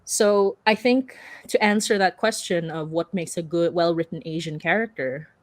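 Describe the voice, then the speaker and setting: A woman with a lowish voice speaks casually as a panelist. She is earnest and informal as she explains her thinking, and the sound is dry with very little room on it. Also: moderate pace, dry recording.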